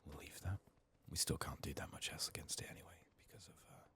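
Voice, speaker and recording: A man doing soft-spoken, often whispered ASMR game commentary, muttering to himself with a voice strained by illness. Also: very deep voice, quick pace. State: sick, resting a strained voice. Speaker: video game streamer.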